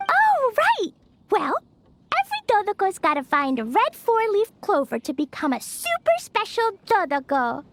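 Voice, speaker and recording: A woman's voice: extremely high pitch, wildly swooping pitch, normal speed, a bit bright, no echo, heavily acted. A woman playing an innocent, eager little girl in a video game, earnest, bubbly and easily confused. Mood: excited.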